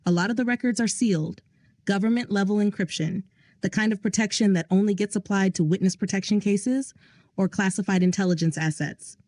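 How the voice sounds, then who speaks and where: A grim male aide delivers a report in a clinical, matter-of-fact tone, as a woman narrator puts him on. His lines are brisk briefings, and the sound is dry and close. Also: medium pitch, a little mellow, no echo, natural voice. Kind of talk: audio drama reading.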